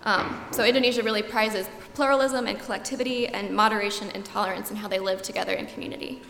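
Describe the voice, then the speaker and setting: A young woman, a student giving a slide presentation and filling her pauses with frequent ums. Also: medium pitch, fairly even intonation, creaky, fairly bright tone. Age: young adult. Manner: frequent ums, earnest and informative. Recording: echoey hall, long reverb, faint room noise.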